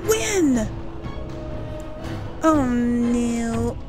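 A woman hosts a casual let's play in a fairly high voice. She takes her time, thinking aloud and exclaiming in a chatty way, while loud game music plays behind her. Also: big pitch swings, very slow. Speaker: gaming video host and player. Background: loud game music and sound.

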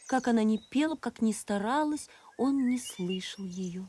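A woman reading a fairy tale as an expressive storybook narrator. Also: a little high, unhurried, a little hiss or hum. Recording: music behind the voice.

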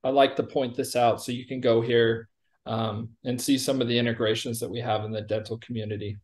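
Man in his forties, mid-range pitch, plain-spoken, informal product demo, video call. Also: flat delivery, brisk, darkish tone. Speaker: company chief executive giving a product demo. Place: indoor room on a video call. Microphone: video call microphone.

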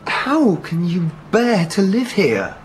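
A man's voice: high voice, very animated, slow pace, a little hiss or hum, heavily acted. An actor in a comic radio play, playing a stranded, persistent male fan with an earnest, eager manner. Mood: puzzled, alarmed.

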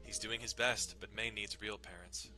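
A man's voice: fairly low pitch, steady tone, moderate pace, pushed and bright, rough recording. A young man giving a dramatic reading of a visual novel, narrating and tossing in casual, irreverent asides, with music behind him. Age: young adult. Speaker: dramatic reader.

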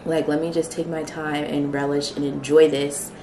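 A young woman talking casually to her camera at home, soft and reflective, a little emotional. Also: sits low, little pitch movement, normal speed, recorded from a distance, slight background noise. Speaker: vlogger. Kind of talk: casual vlog talk. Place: small home kitchen and living room. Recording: roomy, from the mic, music behind.

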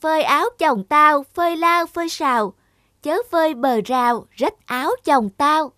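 Woman as shrill, jealous young stepsister. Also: normal speed, sharp tone, no echo. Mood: jealous, angry.

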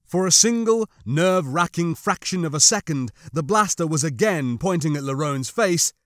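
A man's voice: a little high, lively intonation, normal speed, a bit bright, no room sound, clean studio sound. A man reading scripted fiction as an audiobook narrator, with a dramatic, suspenseful delivery.

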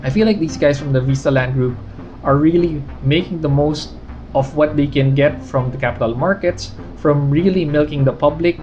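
A man's voice: on the high side, moderate pace, darkish tone, muffled recording. A man in his thirties from the Philippines, giving a candid, conversational stock review vlog from his living room. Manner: candid, conversational, gestures while explaining. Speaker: finance vlogger.

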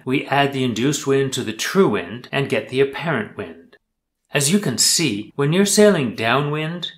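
An older man, a sailing instructor, explaining sailing concepts in calm, measured, scripted narration. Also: medium pitch.

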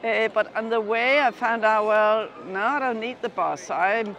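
A woman from Denmark in her fifties speaks thoughtfully in an outdoor square, with a fairly low voice for a woman. Her lapel mic picks up some faint street noise around her. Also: moderate pace, a bit bright, muffled recording. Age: 50s. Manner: reflective, laughs while talking. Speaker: interviewed pilgrim. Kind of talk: casual street interview.

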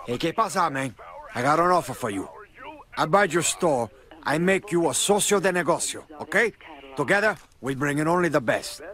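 A man's voice: a little high, expressive, moderate pace, pushed and bright. An actor playing a brash, hot-tempered male drug lord in a video game cutscene, with a profane, swaggering way of talking. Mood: cocky. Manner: swaggering, profane, cursing constantly.

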